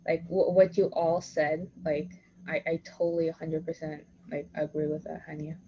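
A woman's voice: sits low, flat delivery, moderate pace, mellow, slightly rough. A young woman from Toronto hosting a podcast. She talks casually in long runs full of "like" and restarts. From Toronto, Canada. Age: young adult. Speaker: podcast host. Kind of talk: casual conversational interview. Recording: slightly muffled lo-fi recording.

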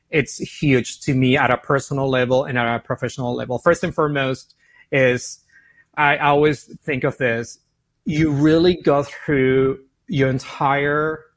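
Argentine-accented founder, animated and candid. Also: male voice, mid-range voice. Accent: Argentine Spanish. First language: Spanish. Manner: animated, repeats words while thinking aloud. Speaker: founder and CEO. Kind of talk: interview answers.

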